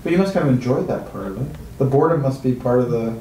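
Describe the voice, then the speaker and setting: A man's voice: mid-range voice, animated, fast talker, mellow. A man asking questions as a casual, conversational interviewer. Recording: echoey room with background noise.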